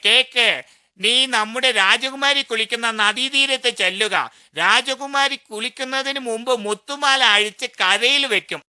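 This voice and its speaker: A sly, clever jackal from an animated moral tale, voiced by a woman. The voice is extremely bright and forceful, coaxing and reassuring like a friend with a scheme. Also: a little high. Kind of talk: animated children's moral story.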